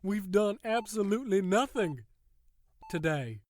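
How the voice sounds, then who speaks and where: A man's voice: sits high, animated, unhurried, darkish tone, slight background noise. A male actor playing a sadistic, mockingly polite prison warden in an audio drama, theatrical and taunting. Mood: gloating.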